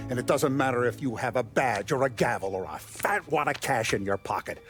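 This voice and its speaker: A man's voice: medium pitch, expressive, a little fast, a bit bright, faint music behind, close to an everyday voice. A man playing a ruthless middle-aged mafia boss in a video game cutscene, speaking with authority and menace. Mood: menacing. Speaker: crime boss.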